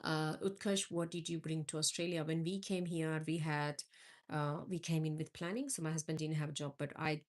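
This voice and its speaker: A woman's voice: deep voice, fairly even intonation, moderate pace. A middle-aged woman from India hosting a live stream, chatting with viewers and answering their comments. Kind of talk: casual live-stream chat.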